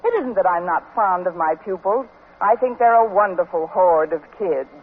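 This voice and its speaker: An actress playing a wry, lovestruck high school English teacher with dry, wisecracking delivery in a 1950s radio comedy. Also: female voice, normal speed, close to an everyday voice. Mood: wry narrating. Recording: noisy, tinny old radio broadcast.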